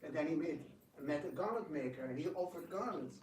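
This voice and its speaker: A man giving an informal spiritual talk and taking questions, telling stories in an animated way with hesitant repetitions. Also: fairly high pitch, a little fast. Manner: animated storyteller, acts out quotes, hesitant repetitions. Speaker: devotional speaker and drama director taking questions. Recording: echoey room, distant mic, faint noise.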